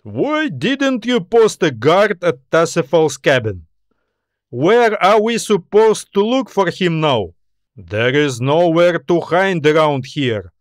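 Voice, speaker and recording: A man's voice: a little high, sing-song, slow, no room sound, clean studio sound, voice pushed up. A man voicing a fearsome, irritable military admiral who speaks in a commanding, snide way in a science fiction audiobook. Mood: furious, incredulous. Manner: commanding, snide, barking questions.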